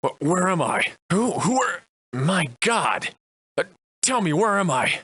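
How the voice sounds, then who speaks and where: Man voicing a bright, sardonic old-fashioned gentleman adventurer. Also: high voice, very animated, unhurried, husky, dry recording, exaggerated voice. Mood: bewildered. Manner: florid archaic diction, theatrical and wry. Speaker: voice actor. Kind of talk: theatrical video game character acting.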